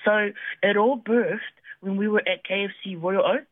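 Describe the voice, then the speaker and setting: A woman's voice: mid-range voice, steady tone, moderate pace, no echo. Bubbly New woman on a phone line. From New Zealand. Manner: bubbly and chatty, laughing easily. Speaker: tech founder and guest. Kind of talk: radio interview. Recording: tinny dry phone line.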